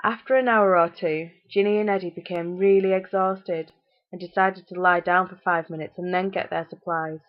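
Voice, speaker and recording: A woman's voice: lowish voice, fairly even intonation, normal speed, muffled recording. A British woman storyteller narrating a fairytale.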